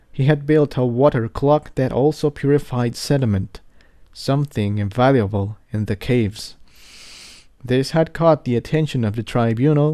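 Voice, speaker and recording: Guy narrating a fantasy story, soft mellow tone, medium pitch, measured and earnest. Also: dry recording, studio quality. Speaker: amateur fan fiction reader.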